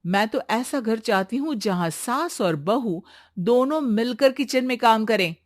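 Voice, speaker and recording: A woman voicing an outspoken young bride-to-be in an expressive, emphatic cartoon story style. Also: fairly high pitch, a little slow, no echo, clean studio sound. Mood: exasperated. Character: Neha, outspoken young bride-to-be, chirpy, sometimes exasperated. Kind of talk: animated Hindi story voice acting.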